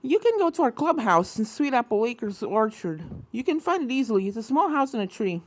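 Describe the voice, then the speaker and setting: A woman's voice: fairly high pitch, sing-song, brisk, faint music behind, pitched above the performer's own voice. A woman voicing a plucky, cheeky young cartoon filly with folksy country phrasing, in a story reading. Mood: cheerful, confident.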